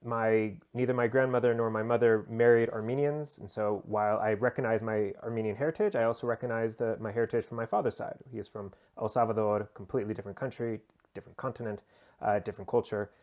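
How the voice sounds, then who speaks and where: An American-accented man speaks as an interview guest. His voice is mid-pitched, and he talks informally, working through his thoughts aloud with small asides. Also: steady tone, quick pace, darkish tone, highs cut off. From United States. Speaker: interview guest, human rights lawyer. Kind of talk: conversational interview answers.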